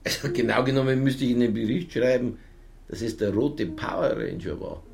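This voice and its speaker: An actor playing a gruff, laconic Bavarian police inspector in a radio drama, speaking dryly. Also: male voice, mid-range voice, fairly quick, darkish tone, soft background music, natural voice. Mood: dry, unbothered. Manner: laconic, dry. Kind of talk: naturalistic radio drama dialogue.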